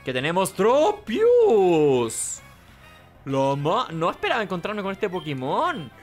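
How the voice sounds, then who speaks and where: Higher-voiced man doing game commentary. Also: huge pitch swings, takes it slowly, faint music behind. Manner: rambling, talks to himself. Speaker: gaming host. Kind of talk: casual let's play commentary.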